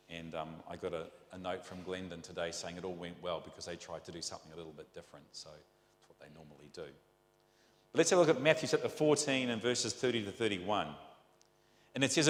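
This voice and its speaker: Chatty New Zealand preacher, lowish voice. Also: male voice, echoey room, big-room sound. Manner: chatty, rambling, asks rhetorical questions. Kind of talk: conversational sermon. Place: church.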